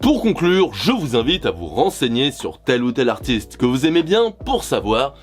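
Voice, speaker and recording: A man from France giving sarcastic, scripted comedic commentary as a YouTuber, swearing casually. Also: moderate pace. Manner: sarcastic, swears casually, animated swings in pitch. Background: jazz background music.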